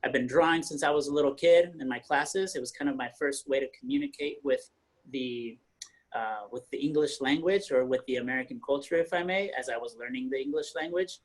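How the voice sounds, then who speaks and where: Man, young adult, relaxed, halting, fillers, middle pitch, panel intro. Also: poor audio. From Northern Colorado. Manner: relaxed, searching for words with many fillers. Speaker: painter and muralist panelist. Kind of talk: casual self-introduction on a panel.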